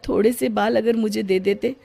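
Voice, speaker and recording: A woman's voice: mid-range voice, fairly even intonation, moderate pace, creaky, dark tone, voice pushed up. A woman voicing a self-pitying bald young man who speaks plaintively. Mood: pleading. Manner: pleading, plaintive.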